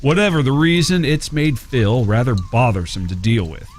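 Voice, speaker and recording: A man's voice: medium pitch, normal speed, lightly acted. Wry cowboy storyteller over background noise man acting. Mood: wry storytelling. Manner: folksy, wry, teasing. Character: wry, easygoing outlaw brother telling his own western tale. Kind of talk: first-person storytelling in western audio drama.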